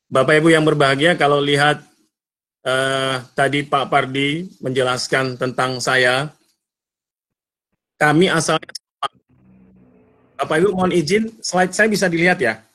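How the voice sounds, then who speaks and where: A man's voice: fairly high pitch, fairly even intonation, a little slow, pauses often, a bit bright. A middle-aged man from North Sumatra, chatting and joking with the audience as a guest speaker in an online seminar over a computer mic. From North Sumatra, Indonesia. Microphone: computer mic on a video call.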